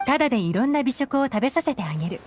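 A woman playing a composed, shrewd young female operative in a video game, unhurried and slightly teasing. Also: high voice, big pitch swings, a little hiss or hum. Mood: calm, teasing. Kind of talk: Japanese video game voice acting. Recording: game music behind the voice.

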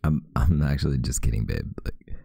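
A man playing a sleepy, teasing, affectionate boyfriend in an intimate ASMR roleplay, murmuring drowsily with husky breath and hesitant pauses, close to the mic. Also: very deep voice, animated, mellow, no echo, full character voice. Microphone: close mic, dry.

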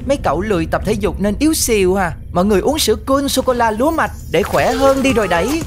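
A woman with a southern Vietnamese accent voicing a grumbling, mischievous young boy in an animated cartoon. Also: medium pitch, quick pace, natural voice. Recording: loud music behind.

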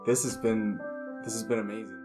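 A man's voice: lowish voice, fairly even intonation, fairly quick, natural voice. A man in his thirties playing a smitten, awestruck young lover in an improvised soap opera, gushing and melodramatic. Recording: music playing clearly behind.

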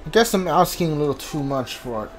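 A video game player giving casual commentary, thinking aloud in a halting way. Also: medium pitch, animated, soft background music.

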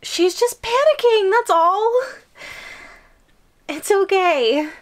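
A woman acts out a lovesick giantess in an audio roleplay. Her voice is high and slow, full of giggles and halting, sugary coaxing, with a possessive menace underneath. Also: expressive, full character voice. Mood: dismissive, clingy. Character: clingy, lovesick yandere giantess, sweet but menacing.

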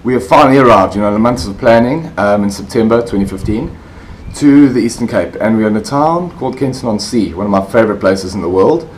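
A middle-aged South African man talking casually to the camera as a fishing vlogger, relaxed and chatty with plenty of fillers. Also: on the low side, quick pace, slight background noise, rough recording. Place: outdoor covered balcony, then an indoor living room.

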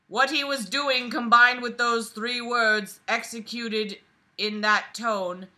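A woman's voice: a little high, pushed and bright. A woman in her twenties reading aloud and breaking off for sarcastic, exasperated, profane commentary. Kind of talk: mocking read-aloud with casual profane commentary. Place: small bedroom, lying on a bed indoors.

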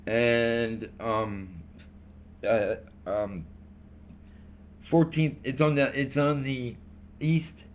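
A man, telling a story to the camera in a rambling, halting way and sounding a little shaky, recorded in a small room on a camera in front of him. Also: mid-range voice, slight background noise. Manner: rambling, halting, animated hand gestures. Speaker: vlogger.

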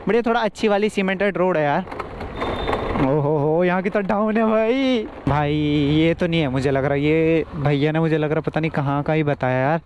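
A young man, vlogging casually and thinking aloud, with English words mixed in. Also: high voice. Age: young adult. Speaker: motovlogger. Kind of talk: casual vlog chat. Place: outdoors on roads, riding a scooter and stopping. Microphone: action camera on helmet or handheld. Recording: noisy outdoor action-camera audio with vehicle sound.

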